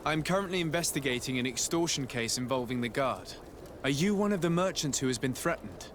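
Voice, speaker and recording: An actor playing a composed, insistent noble legate in a video game, speaking formally and persuasively. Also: male voice, medium pitch, animated, brisk, noisy background, lightly acted. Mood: pressing. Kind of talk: game cutscene acting.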